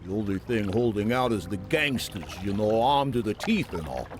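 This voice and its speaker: A man playing a streetwise, gruffly friendly pawn shop owner in a video game. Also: fairly low pitch, animated, normal speed, slight background noise, lightly acted. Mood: weary, wry. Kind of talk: video game cutscene dialogue.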